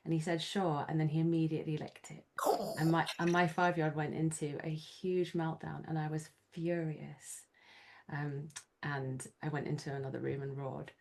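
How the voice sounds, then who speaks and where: A woman hosting an interview over a video call, her voice slightly hoarse, talking in a reflective way and laughing often. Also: low voice, level tone, moderate pace, a little mellow, a little hiss or hum. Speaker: host. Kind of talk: conversational interview.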